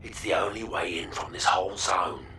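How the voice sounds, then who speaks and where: An actor playing a sly, gruff underworld informant in a video game, with a breathy, whispery growl and a conspiratorial manner. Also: male voice, sits low, moderate pace, pushed and bright, reverberant, shouting, recorded from a distance, a little hiss or hum. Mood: sly, informative. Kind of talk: video game character acting.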